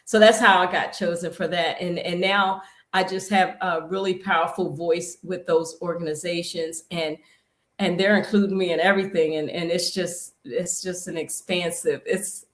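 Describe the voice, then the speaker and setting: A woman's voice: on the low side, steady tone, normal speed. A woman fitness professional, a guest on a live-stream talk show, who rambles and often restarts her sentences. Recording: echoey room.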